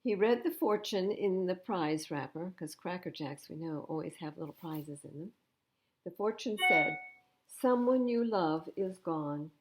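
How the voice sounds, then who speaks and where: A woman's voice: fairly low pitch, normal speed, background noise. A woman reading a storybook aloud to children as a storytime host, with chatty asides. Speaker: library storytime reader.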